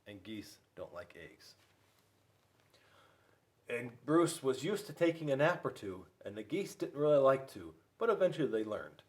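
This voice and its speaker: A man reads a picture book aloud to children. His delivery is slow, with a mid-range voice that moves up and down in pitch, and he leans on dramatic pauses. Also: sing-song, recorded from a distance. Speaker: school resource police officer reading to children. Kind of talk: storybook read-aloud.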